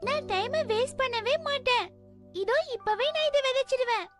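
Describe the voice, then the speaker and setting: A woman voicing an eager, impatient little schoolgirl in a children's cartoon, with an excitable, pleading manner. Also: very high-pitched, normal speed, soft background music. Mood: eager, confident. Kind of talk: Tamil-dubbed children's cartoon acting.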